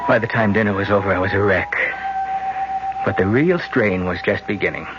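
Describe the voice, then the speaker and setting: A man's voice: lowish voice, fairly quick, over loud music, natural voice. An actor playing a scheming young man who coaxes his twin sister, also narrating, in a 1970s radio drama. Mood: brooding narration. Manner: coaxing, hypnotic, later drunk and rattled. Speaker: narrator and lead. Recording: dull lo-fi old radio broadcast.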